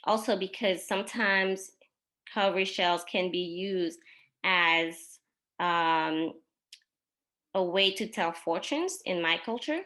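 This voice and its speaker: A woman whose first language is French, possibly from Mali, presents her own artworks in an unhurried talk, pausing often with ums. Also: lowish voice, pushed and bright. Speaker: exhibiting artist.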